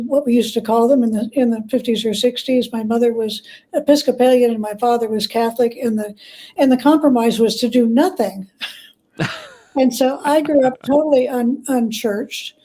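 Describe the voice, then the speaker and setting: An older woman speaks as a guest in a conversational interview. Her voice sits a little high yet has a very mellow, relaxed tone. She tells personal stories in a measured, reflective way. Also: rough recording. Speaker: interview guest, author and historian.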